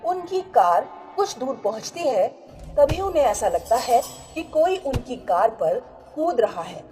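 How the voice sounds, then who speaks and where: A woman narrates a scripted horror tale in a fairly high voice. Her pitch moves in a lively way, and music plays behind her. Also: measured pace.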